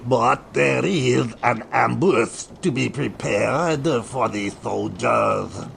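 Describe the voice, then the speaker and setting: Man playing a very gravelly pirate henchman. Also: mid-range voice, slow pace, fairly bright tone, slight background noise, exaggerated voice. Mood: eager. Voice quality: gravelly, grunting. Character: sadistic gruff pirate henchman, eager yet practical. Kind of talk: dramatised audiobook reading. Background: faint ambient sea sound.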